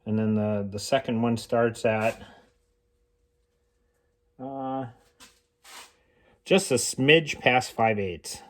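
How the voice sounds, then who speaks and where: A man with a medium-pitched voice walks through a hands-on tool demonstration. He speaks informally and without a script, pausing and thinking aloud as he works out each explanation. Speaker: hobbyist mechanic presenting a homemade tool demonstration. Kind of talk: casual unscripted how-to explanation.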